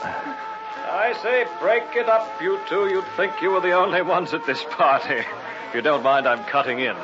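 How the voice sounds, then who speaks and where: An actor playing a breezy, gossipy young student with jaunty old-chap speech in a vintage radio drama. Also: male voice, high voice, big pitch swings, normal speed. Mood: playful, grand. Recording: dull vintage radio recording, music behind.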